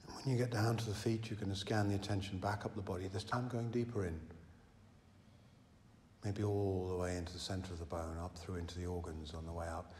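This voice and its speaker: A man leading a guided meditation as a teacher, speaking slowly and gently with long unhurried pauses. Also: low voice, steady tone. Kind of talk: guided meditation, slow deep-relaxation instruction. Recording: reverberant hall, some background noise.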